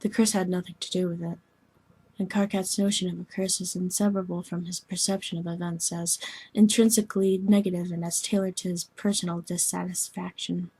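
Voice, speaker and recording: A woman voicing a formal, gently meddling young alien woman in scripted dialogue, speaking in a measured, precise, deadpan way. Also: on the low side, breathy edge, rough recording. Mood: matter-of-fact.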